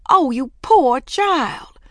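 A woman's voice: high voice, expressive, drawn-out words, a bit bright, dry recording, studio quality, voice pushed up, heavily acted. A woman voicing a sympathetic, soothing mother in a storybook, tender and consoling.

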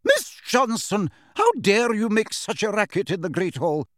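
Male reader playing a strict elderly schoolmistress, sharp and clipped, raised pitch, dry close sound. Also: wildly swooping pitch, a little slow, dry recording, heavily acted. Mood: stern scolding. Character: stern strict older female teacher.

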